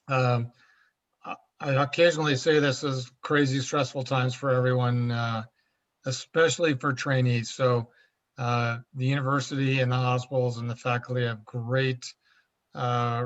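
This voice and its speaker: A man's voice: mid-range voice, fairly bright tone. A middle-aged man introducing himself as a panelist on a video call, speaking wryly and with self-deprecating humor. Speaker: panelist, endocrinologist. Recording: dull muffled video-call audio.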